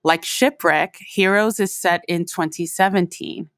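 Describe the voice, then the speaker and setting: A woman's voice: lowish voice, unhurried, fairly bright tone, dry recording, clean studio sound. A woman reading scripted narration as the host of an audio programme. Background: music.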